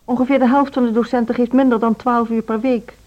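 An actress playing a composed, patronizing female music school director in a Dutch radio drama, speaking in a measured, authoritative way. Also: fairly high pitch, flat delivery, close to an everyday voice. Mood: firm, dismissive. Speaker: actor in radio play. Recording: dull, band-limited radio recording.

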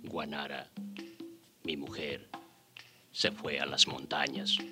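A slow, haunted, grieving witness. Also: male voice, very deep voice, little pitch movement. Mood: wistful, reminiscing. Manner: slow, sorrowful storytelling breaking into anguished outcry. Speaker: narrator and character actor. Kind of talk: radio drama first-person narration and acting. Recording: radio drama with background music.

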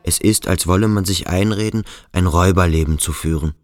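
A man acting a brooding school cadet in a radio play. The voice is very deep and recorded close and dry, hushed, intent and inquisitive. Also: brisk, no room sound, natural voice. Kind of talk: radio drama dialogue and inner thought.

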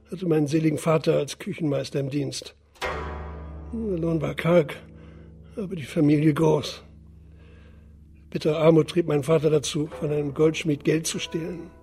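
An actor in a radio drama playing a poor, bitter father who speaks deferentially and in measured, formal phrases to his superior. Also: fairly high pitch, dark tone, close to an everyday voice.

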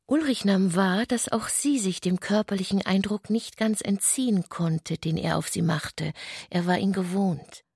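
A woman narrating a literary radio play from a script, in a measured, deliberate manner. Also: medium pitch, gravelly, a little mellow, slightly rough, dry recording, studio quality.

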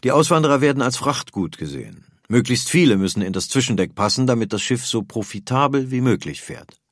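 A man narrating a scripted documentary in a measured, factual manner. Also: mid-range voice, lively intonation, no echo, studio quality. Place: dry studio.

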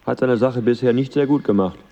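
A man playing a stubborn, gruff knight in a radio drama, curt and deadpan. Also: mid-range voice, brisk, big-room sound, natural voice.

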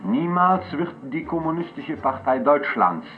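Declamatory communist agitator, dull lo-fi sound. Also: male voice, fairly high pitch, big pitch swings, a little slow. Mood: scornful. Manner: declamatory, polemical. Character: combative communist politician denouncing West German statehood, 1948. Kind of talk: agitating political speech. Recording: dull lo-fi radio sound, music.

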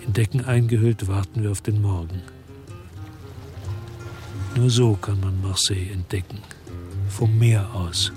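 A man's voice: lowish voice, fairly even intonation, a little slow, pauses often, music in the background, close to an everyday voice. An actor playing a brooding, disillusioned ex-policeman who narrates a crime radio drama in terse, reflective phrases. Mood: quiet, contemplative. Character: world-weary disillusioned male ex-cop, brooding and reflective.

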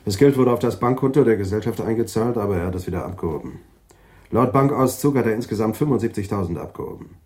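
An actor as a senior police detective in a crime radio play. He talks fast in a lowish voice, businesslike and matter-of-fact. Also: lively intonation, a little mellow, lightly acted. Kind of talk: radio drama acting.

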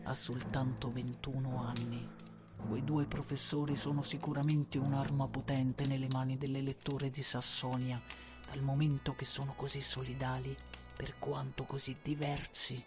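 A man's voice: medium pitch, little pitch movement, moderate pace, music in the background, lightly acted. A man acting a devoted, servile informer who formally and deferentially reads out secret reports to his lord in a radio drama. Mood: calculating, earnest. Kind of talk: radio drama letter narration.

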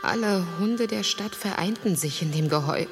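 An actress playing a dreamy, haunted young gentlewoman in a radio play, speaking in a hushed way. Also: mid-range voice, expressive, normal speed, loud music behind the voice, natural voice. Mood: entranced, dreamy. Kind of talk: German radio play acting.

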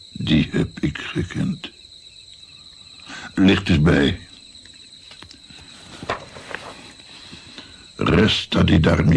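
An actor playing a weary, guilt-ridden grandfather of seventy-six in a radio drama, speaking in a brooding, pausing way with a gravelly voice. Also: very deep voice, a little mellow, full character voice. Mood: grave, haunted. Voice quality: gravelly, creaky. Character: weary, guilt-ridden 76-year-old Italian-born grandfather. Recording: faint noise, night crickets. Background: chirping crickets.

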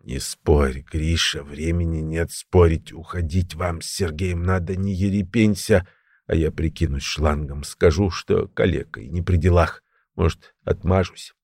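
A man voicing a weary, ailing crippled criminal veteran in an audiobook, creaky and short of breath, talking slowly in prison slang. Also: deep voice, darkish tone, dry recording, clean studio sound, exaggerated voice. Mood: labored, urgent. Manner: slow, labored prison-slang speech.

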